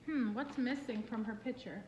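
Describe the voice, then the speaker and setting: A woman reading a picture book aloud for children in an expressive, singsong way, with asides and questions to young listeners. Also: fairly high pitch, normal speed, muffled recording, a little hiss or hum. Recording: roomy, echoing room sound.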